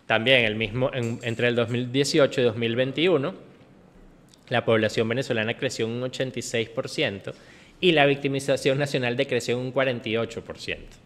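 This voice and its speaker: A man's voice: medium pitch, fairly bright tone. A man giving an explanatory, off-the-cuff conference lecture as a researcher, often adding short tag questions to check in. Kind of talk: extemporaneous lecture on data. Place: indoor conference hall. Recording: reverberant hall, conference recording.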